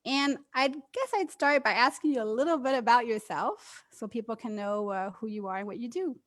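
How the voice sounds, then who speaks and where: A woman's voice: fairly high pitch, normal speed, a bit bright. A woman co-hosting an interview, encouraging her guest and using some fillers. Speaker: interview co-host.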